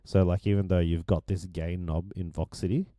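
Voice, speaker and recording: Man, low deep voice, hoarse, rambling producer tutorial, dry close sound. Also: normal speed, no echo. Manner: relaxed, rambling, many ums and restarts. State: losing his voice. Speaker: music producer explaining his mix. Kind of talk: casual screen-share tutorial.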